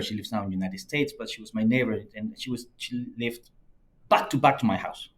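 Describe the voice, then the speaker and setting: A middle-aged man with a Peruvian Spanish accent, a native Spanish speaker, recounting his past as a podcast guest. He talks in a lively, anecdotal way at a medium pitch. His stories are full of repeated phrases for emphasis. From Peru. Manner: lively anecdotal telling, acts out quoted friends in a higher voice. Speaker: podcast guest, company CEO. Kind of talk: conversational storytelling interview.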